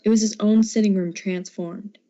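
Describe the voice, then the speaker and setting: A woman's voice: medium pitch, fairly even intonation, moderate pace, mellow, natural voice. A woman reading scripted narration as the storyteller of an amateur radio drama. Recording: dull lo-fi amateur recording.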